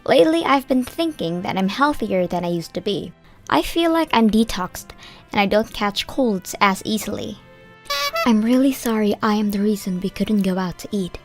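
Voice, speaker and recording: Woman as a gentle young wife, mid-pitched. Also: lively intonation, normal speed, close to an everyday voice. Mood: gentle, grateful. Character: gentle grateful young married woman with allergies. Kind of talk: scripted first-person narration for a manga dub. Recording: background music under the voice.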